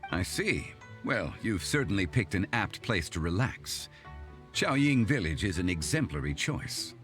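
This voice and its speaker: A man voicing a dignified, composed gentleman scholar in a video game, courteous, formal and measured. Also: low voice, big pitch swings, soft background music, exaggerated voice. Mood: approving. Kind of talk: video game character acting.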